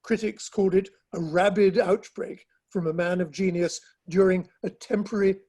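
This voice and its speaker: Man playing a high, raspy Victorian gentleman. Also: fairly even intonation, moderate pace. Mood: droll disapproval. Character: gossipy, bemused Victorian literary gentleman, clipped and droll. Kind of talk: dramatic play reading over the internet.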